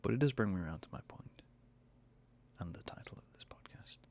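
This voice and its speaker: A Northern English man talks into a close desk mic in a casual solo podcast. His voice sits very low with a gravelly, creaky edge. He is croaky and hoarse today and wanders through his thoughts with self-deprecating restarts. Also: lively intonation, a little fast, darkish tone, in a whisper. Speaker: podcast host and artist. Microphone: desk microphone close to face.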